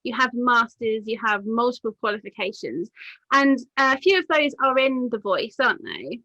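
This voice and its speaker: A woman's voice: a little high, animated, normal speed, no echo, muffled recording. A woman hosting an interview over a video call, asking polite questions and laughing along with her guest. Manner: warm backchannels, frequent laughter, polite questions. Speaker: interviewer, channel host. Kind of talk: casual interview.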